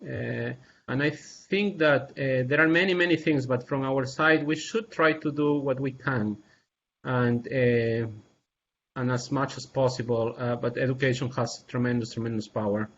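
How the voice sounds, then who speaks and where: A man from Peru, speaking as an assistant professor on a video call panel, earnest and halting, with many fillers and restarts. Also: mid-range voice, a bit bright, a little hiss or hum. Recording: dull lo-fi video call audio.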